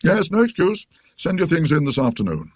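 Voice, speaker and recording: A British man voicing a kindly club secretary, an emphatic and sympathetic gentleman in a storybook reading. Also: a little high, huge pitch swings, brisk, slightly rough, dry recording, studio quality, voice pushed up, exaggerated voice. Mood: concerned, insistent.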